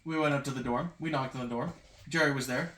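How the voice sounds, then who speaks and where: A man's voice: mid-range voice, quick pace, fairly bright tone. A man casually retelling a roleplaying game session to his group, hesitating and slipping into bits of acted-out dialogue. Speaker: player recapping a tabletop roleplaying game. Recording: echoey room, background noise, clicks.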